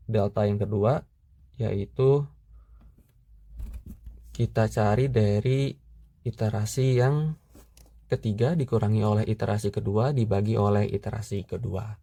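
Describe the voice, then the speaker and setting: A young man with a low, mellow voice walks through spreadsheet calculations step by step. He speaks steadily and methodically, often pausing on "eh" while he works. The sound is dry and close, with no room around it. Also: gravelly, no echo. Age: young adult. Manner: steady, methodical, pauses with "eh" fillers. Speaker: student tutor. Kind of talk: screen-recorded math tutorial.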